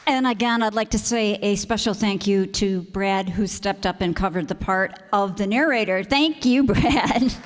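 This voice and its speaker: A woman's voice: mid-range voice, moderate pace, hardly any pauses, slight background noise. A woman giving closing thank-you remarks to an audience as host of a community theater group. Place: community auditorium stage. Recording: echoey hall, picked up in reverberant room.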